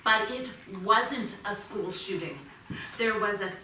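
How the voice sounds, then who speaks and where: Anxious stage-play mother, acted by a woman: bright, slow, pleading delivery in an echoey theatre. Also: medium pitch. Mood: earnest pleading. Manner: pleading, emotional, halting. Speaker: actor. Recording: echoey theatre, distant mic, faint noise.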